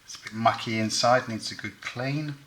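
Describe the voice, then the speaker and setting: A man's voice: mid-range voice, steady tone, moderate pace, sharp tone, slight background noise. A man giving hands-on repair commentary as host, thinking aloud in short, matter-of-fact phrases.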